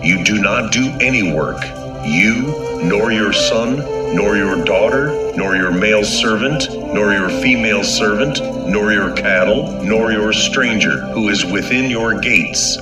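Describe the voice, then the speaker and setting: A man's voice: on the low side, a little slow, natural voice. A man playing a stern, solemn God giving commands in a dramatized scripture recording, with a declamatory delivery. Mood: solemn commanding. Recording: echoey reverb with music behind.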